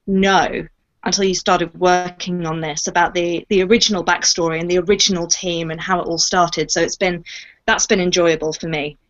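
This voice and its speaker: A woman with a slightly low voice speaks as a voice actress in a casual Q&A. She is reflective and expressive, leaning on key words. The recording is dull and lo-fi. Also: moderate pace. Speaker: voice actress in an audio drama. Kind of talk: casual Q&A chat.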